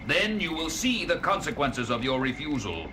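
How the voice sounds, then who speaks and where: An actor playing an immortal alien villain, sadistic and menacing, in a theatrical audio drama style. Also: male voice, a little high, sing-song, normal speed, background noise. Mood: gloating menace. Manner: theatrical, gloating, laughs cruelly. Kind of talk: 1970s audio drama acting. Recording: music under voice.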